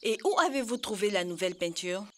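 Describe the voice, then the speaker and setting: A woman playing an affectionate, shrewd grandmother who gently scolds, in an educational cartoon dub. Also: mid-range voice, lively intonation, fairly quick, lightly acted. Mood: gently scolding. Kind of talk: dubbed educational cartoon acting.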